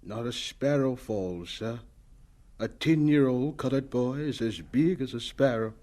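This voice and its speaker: A man acts as an elderly, devout professor in a radio play, with a mellow, medium-pitched voice. He reminisces humbly and reverently, taking his time. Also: a little slow, natural voice. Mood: reverent, fond. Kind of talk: radio drama acting.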